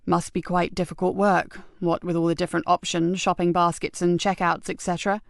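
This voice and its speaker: A woman voicing a calm male police detective who asks questions in a quiet, measured way. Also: lowish voice, gravelly, no echo, studio quality.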